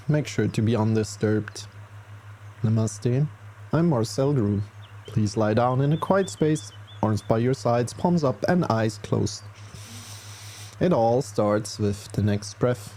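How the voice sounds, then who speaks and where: A man leading a guided relaxation as a meditation teacher, speaking soothingly and reassuringly, with long unhurried pauses. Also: medium pitch, dark tone, slight background noise. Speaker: yoga nidra meditation guide.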